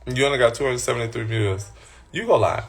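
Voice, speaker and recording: A man's voice: mid-range voice, quick pace, gravelly. A man hosting a casual livestream, bluntly and sassily answering viewer comments from his phone. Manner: blunt, sassy, talks back to commenters. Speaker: livestream host.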